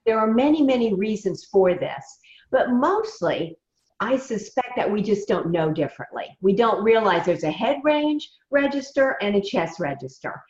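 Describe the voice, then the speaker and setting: A woman, a voice coach giving measured, emphatic advice in a remote interview. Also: mid-range voice, big pitch swings, darkish tone. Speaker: voice coach guest. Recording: roomy, dull lo-fi sound.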